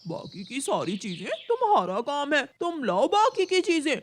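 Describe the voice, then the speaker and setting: A woman's voice: high voice, big pitch swings, normal speed, a little hiss or hum. A woman voicing a sly, lazy male crow in a cartoon story, wheedling and bossy with false sincerity. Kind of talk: Hindi cartoon story character voice.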